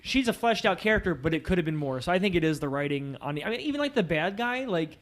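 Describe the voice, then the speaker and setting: A man's voice: sits high, sing-song, quick pace. A man co-hosting a film podcast, talking casually and loosely, sometimes retelling scenes conversationally. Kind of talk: casual movie discussion.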